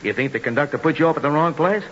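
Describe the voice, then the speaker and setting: An actor playing a folksy, friendly small-town station agent who talks with a chuckling drawl, in 1940s radio acting. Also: male voice, fairly high pitch, very fast, a bit bright, natural voice. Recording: dull old radio broadcast with faint hiss.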